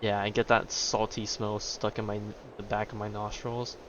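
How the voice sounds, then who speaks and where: A man's voice: on the low side, flat delivery, moderate pace, a little hiss or hum. A man giving casual, offhand commentary as he streams a game, with wry reactions. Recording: dull, muffled lo-fi microphone. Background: game music.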